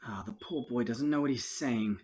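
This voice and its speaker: An actor playing a pompous, blustering wizard professor with false confidence in an audio drama. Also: male voice, medium pitch, normal speed, darkish tone, natural voice. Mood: smug, booming. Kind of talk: fan audio drama acting.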